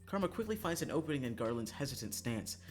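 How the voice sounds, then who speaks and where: A man livestreaming a visual novel, moving between casual, profane, joking chat and reading the game text aloud, quick to laugh. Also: medium pitch, very animated, faint music behind. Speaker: streamer playing a visual novel.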